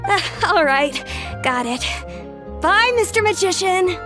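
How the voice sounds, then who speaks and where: A woman's voice: extremely high pitch, lively intonation, takes it slowly, heavily acted. A woman voicing a wide-eyed young boy in a video game who stammers a little, over game music. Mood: sheepish.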